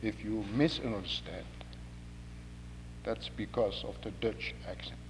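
A man from Indonesia with an Indonesian accent, his first language, preaching a sermon in measured, deliberate phrases with dry humor. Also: fairly low pitch. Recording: long hall reverb with faint hum.

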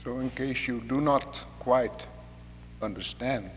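A man from Indonesia with an Indonesian accent, his first language, preaching a sermon in measured, deliberate phrases with dry humor. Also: mid-range voice. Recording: long hall reverb with faint hum.

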